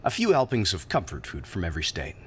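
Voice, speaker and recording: A man's voice: deep voice, huge pitch swings, fast, slight background noise. A man playing a polite, wordy drifter who poses as a polished businessman in an audio drama. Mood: confiding. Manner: formal, wordy, polite, hesitant openings. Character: sharply dressed drifter posing as polished New York businessman.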